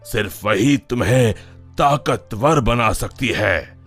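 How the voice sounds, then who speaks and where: A man doing a slow, solemn old holy man. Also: mid-range voice, animated, a bit bright, husky, soft background music, heavily acted. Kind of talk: cartoon voice acting.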